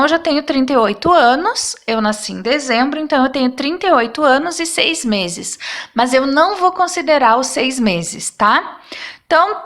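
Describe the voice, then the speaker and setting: A woman's voice: high-pitched, fairly bright tone. A woman, explaining math problems step by step as a teacher and checking in with her students after each step. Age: 30s.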